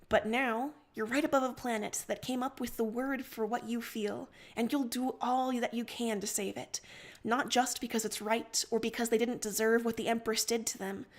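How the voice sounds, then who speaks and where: A woman reading fan fiction aloud on a livestream as an expressive narrator. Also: fairly high pitch. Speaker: livestream story reader.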